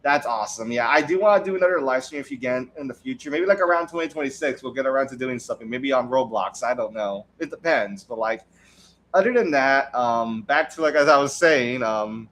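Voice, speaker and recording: A man's voice: mid-range voice, expressive, brisk, fairly bright tone. A young man chatting casually on a livestream, rambling with lots of restarts and frequent laughs. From United States. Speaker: streamer.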